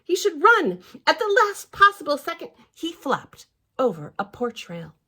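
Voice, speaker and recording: A woman reading a picture book aloud to young children as a library storyteller, in a theatrical, expressive manner with chatty asides. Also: high-pitched, a little slow.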